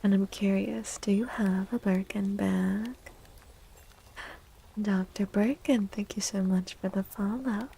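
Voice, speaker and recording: A woman speaking softly and breathily in a gentle, soothing ASMR livestream style, often slipping toward a whisper as she hosts and reads tarot. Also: medium pitch, fairly even intonation, slow pace, creaky, breathy edge. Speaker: livestream host and tarot reader. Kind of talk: soft-spoken ASMR livestream, chatting and reading tarot.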